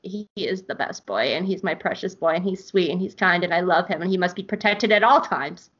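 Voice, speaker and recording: A woman hosting a casual podcast, gushing and excitable, swearing freely and often laughing. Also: on the low side, brisk, breathy edge. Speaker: podcast host. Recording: dull lo-fi sound.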